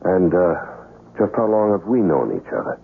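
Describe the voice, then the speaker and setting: A very deep, gravelly male voice as a brooding, terse antihero in a vintage radio play. His delivery is world-weary and guarded but swings widely in pitch. The old recording is muffled and noisy. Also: expressive, normal speed, full character voice. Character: brooding, guarded amnesiac man in a dangerous trade. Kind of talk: 1970s radio drama acting with first-person narration.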